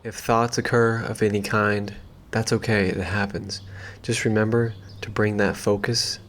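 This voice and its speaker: A man leading a guided meditation in a gentle, unhurried, soothing way, leaving long pauses between phrases. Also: fairly low pitch, flat delivery. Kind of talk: calm guided meditation, slow and soothing instruction.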